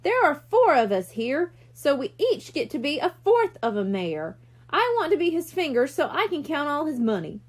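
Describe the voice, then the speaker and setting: A woman's voice: high voice, very animated, normal speed. A woman reading a children's storybook, voicing a playful, scheming young boy with a keen, cheeky manner. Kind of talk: children's audiobook character voice.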